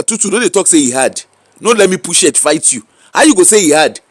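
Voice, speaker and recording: High-voiced, animated Nigerian man. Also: quick pace, breathy edge, no echo. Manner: animated, mocking, rhetorical questions. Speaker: social media commentator. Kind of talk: casual commentary in pidgin. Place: UK-based.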